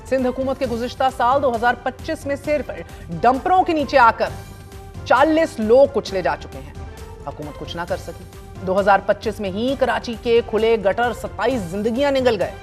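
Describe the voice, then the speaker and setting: Woman, news host, indignant, rhetorical questions, highish voice. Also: expressive, moderate pace, faint music behind. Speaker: news talk show host. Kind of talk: scripted news commentary and interviewing.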